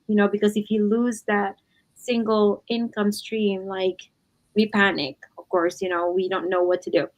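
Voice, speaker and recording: A woman's voice: medium pitch, a little mellow, low-quality recording. A woman co-hosting a casual livestream, talking chattily and often adding "you know" and "right". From Philippines. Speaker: livestream co-host.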